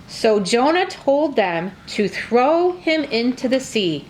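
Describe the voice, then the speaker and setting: High-voiced woman telling a children's story. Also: big pitch swings, slow pace, a little mellow, slight background noise, low-quality recording. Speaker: narrator of a children's church puppet show.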